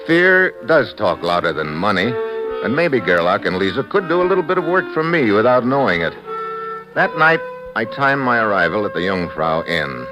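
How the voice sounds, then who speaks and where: A man's voice: lowish voice, sing-song, moderate pace, dull audio. An American man playing a tough, wisecracking secret agent in 1950s radio acting, with a wry, hard-boiled manner. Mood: brooding narration. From United States. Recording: old radio broadcast with music behind.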